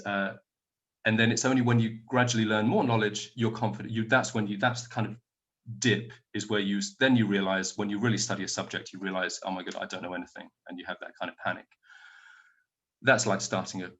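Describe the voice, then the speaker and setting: A fairly low-voiced man from the UK speaks at an online conference. He talks casually and loosely, often restarting his sentences and filling gaps with "um" and "you know". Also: a bit bright. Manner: informal, rambling, many restarts and fillers.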